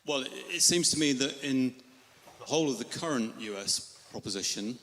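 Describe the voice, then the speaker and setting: A man from Europe questioning a speaker in a live political debate, disagreeing in a polite, measured but insistent way. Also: medium pitch, expressive, fairly bright tone. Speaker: audience member questioning the speaker. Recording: reverberant hall microphone.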